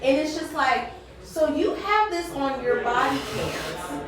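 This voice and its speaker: A woman's voice: high voice, very animated, measured pace, a crowd in the background. A woman hosting a casual interview, talking in a conversational, opinionated and emphatic way. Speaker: interviewer, show host. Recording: echoey room, distant microphone.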